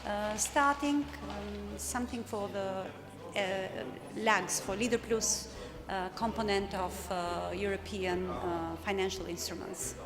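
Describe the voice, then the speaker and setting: A woman's voice: medium pitch, slow pace. A woman speaking briefly about her expectations, hesitant and full of uhs. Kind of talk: short self-introduction. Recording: hall reverb with music behind.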